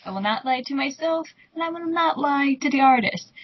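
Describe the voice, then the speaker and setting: A woman's voice: high-pitched, lively intonation, fairly quick, no echo. A woman giving casual, blunt, opinionated commentary through a dull lo-fi microphone.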